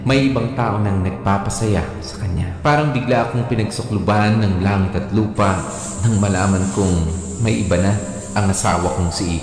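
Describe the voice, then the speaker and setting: An actor playing a heartbroken husband, narrating his betrayal in a sorrowful, measured radio drama style. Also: on the low side, a little mellow, natural voice. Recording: echoey reverberant playback with faint music.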